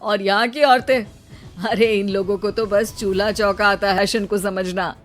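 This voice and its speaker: A woman's voice: medium pitch, steady tone, moderate pace, soft background music, close to an everyday voice. Woman playing a teasing, doubtful young husband. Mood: mocking.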